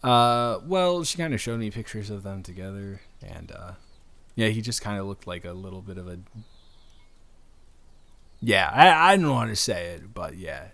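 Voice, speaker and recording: A man's voice: on the low side, lively intonation, normal speed, a bit bright. A man improvising an audio roleplay as a friendly, slightly awkward young stranger, talking casually and earnestly to the listener with stammered restarts. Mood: gentle, tentative.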